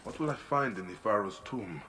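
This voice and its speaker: A man's voice: on the low side, expressive, fairly quick, loud music behind the voice, lightly acted. A man playing a brooding Egyptian warrior in a video game, speaking in a solemn, reflective way. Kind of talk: video game cutscene acting.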